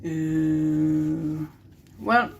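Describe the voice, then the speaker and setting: Casting: young Israeli man, native Hebrew speaker, gravelly fry, unhurried halting delivery, candid rambling hobby-video host. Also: on the high side. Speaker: card-opening video host. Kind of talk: casual rambling commentary while opening cards.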